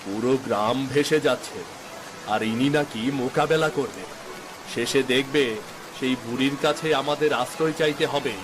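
A man's voice: medium pitch, normal speed, noisy background, natural voice. A man voicing village men in a dramatic folk-tale cartoon, speaking. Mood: reproachful. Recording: music behind the dialogue.

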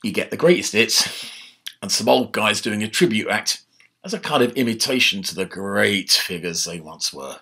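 An older man giving a casual, animated history lecture from his home study, speaking into a laptop webcam. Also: lowish voice, normal speed, breathy edge. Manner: animated, conversational, gesturing with his hands. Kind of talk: informal online history talk. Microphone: laptop webcam mic.

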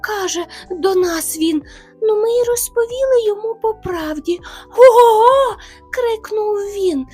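A woman's voice: very high-pitched, expressive, measured pace, a little mellow, soft background music, pitched above the performer's own voice. A woman voicing a small, timid male hare who pleads politely and tearfully. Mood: pleading.

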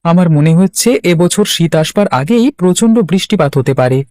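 A man's voice: high voice, animated, moderate pace, darkish tone, dry recording, studio quality. A man voicing an earnest, polite young village lad in a cartoon, helpful and concerned. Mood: earnest, planning. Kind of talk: Bangla cartoon voice acting.

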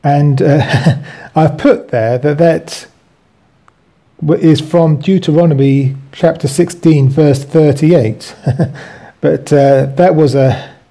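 Guy reading his book aloud w commentary, very soft mellow tone, slightly high voice, takes it slow.

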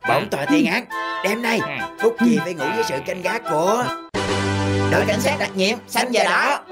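A bossy older-brother cartoon character voiced by a woman. The delivery is mock-solemn and very animated, handing out orders sternly. Loud music plays behind. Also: medium pitch, moderate pace, lightly acted. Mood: stern, commanding.

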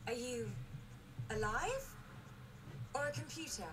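An earnest woman explorer in a video game. Her voice is high and moves expressively through short questioning lines, over clearly audible background ambience. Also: sing-song, takes it slowly, background noise, exaggerated voice. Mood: earnest, wary.

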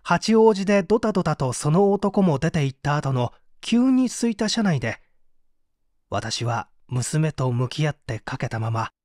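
An actor playing a poor, contrite painter making an earnest, theatrical confession in a dramatic audio mystery. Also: male voice, a little high, big pitch swings, unhurried, a little mellow, no room sound, studio quality. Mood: tense, recounting. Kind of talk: dramatic Japanese audio reading of a mystery story.

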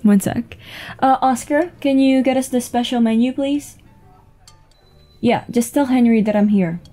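A woman playing a flirtatious, easygoing businesswoman on a date, in a casual, intimate ASMR roleplay with a soft voice. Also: a little high, natural voice. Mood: friendly. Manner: unhurried, giggly, casual. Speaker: audio roleplay voice actor. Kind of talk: intimate ASMR roleplay acting, one-sided conversation. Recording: music behind the voice.

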